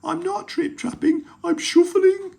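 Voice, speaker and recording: A storyteller voicing a timid male troll in a children's storybook, with a small, high, shaky voice. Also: very animated, unhurried. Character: timid male troll who hides in a chest. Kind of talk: storybook read-aloud.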